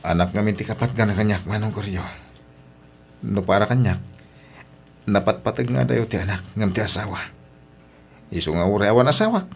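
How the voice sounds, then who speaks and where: A man's voice: lowish voice, a little fast, gravelly, long pauses, slight background noise. A man with an Ilocano accent voicing James, a young husband who becomes a widowed father in a radio drama, his voice breaking with emotion. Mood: grieving.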